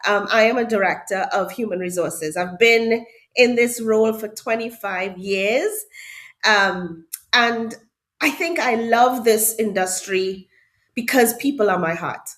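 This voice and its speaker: A woman's voice: a little high, measured pace, fairly bright tone. A middle-aged woman with a Trinidadian accent, a hotel HR director answering interview questions in an emphatic way, often repeating key words for stress. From Trinidad and Tobago. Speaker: hotel HR director, podcast guest.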